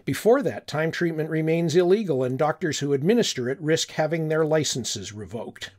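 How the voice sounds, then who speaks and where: A man's voice: on the high side, animated, a little mellow. Older man reading in a wistful first-person voice. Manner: reflective, wistful, unhurried. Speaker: audiobook narrator. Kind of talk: first-person scripted narration.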